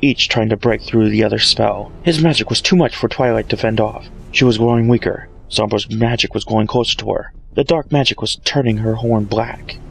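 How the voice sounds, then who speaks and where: A man's voice: on the low side, fairly quick, gravelly, few pauses, low-quality recording. A man reading a story aloud as a dramatic narrator, recorded on an amateur microphone. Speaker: fan fiction reader. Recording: music behind, amateur microphone.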